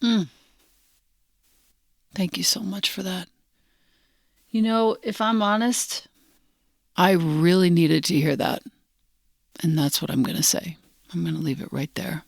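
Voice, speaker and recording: A woman hosting a podcast interview, speaking softly and thoughtfully. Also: fairly low pitch, fairly even intonation, a little slow, gravelly, long pauses, no room sound, clean studio sound. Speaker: podcast host.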